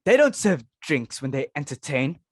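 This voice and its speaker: A woman's voice: low-pitched, big pitch swings, normal speed, no echo, clean studio sound. A woman playing a fussy, snobbish society hostess and mother in a dramatic reading of a stage comedy, speaking in a haughty, exclamatory way. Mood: fussy, disdainful.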